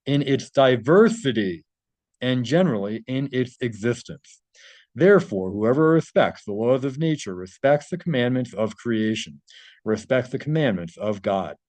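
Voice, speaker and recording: A man's voice: medium pitch, darkish tone, dry recording. A man reading a translated article aloud and adding his own informal commentary.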